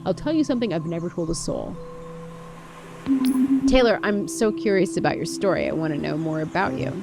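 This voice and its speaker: A woman voicing a friendly, pompous teenage raptor in a visual novel, with drawn-out theatrical speech. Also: fairly low pitch, expressive, gravelly. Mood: coaxing. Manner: pompous, drawn-out, mock-archaic. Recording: music behind the voice.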